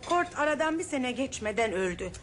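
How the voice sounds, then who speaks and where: Geveze, sevecen teyze. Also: female voice, high voice, fairly quick. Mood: reminiscing. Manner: lively, reminiscing, talkative. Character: chatty middle-aged aunt, gossipy, fond. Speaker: aunt in radio play. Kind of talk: 1970s Turkish radio drama acting. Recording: old radio broadcast with music.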